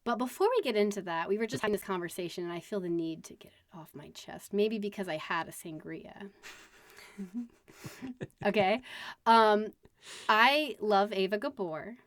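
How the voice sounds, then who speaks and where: A woman co-hosting a podcast in casual chat, talking quickly and laughing often. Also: mid-range voice, no room sound. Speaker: podcast co-host.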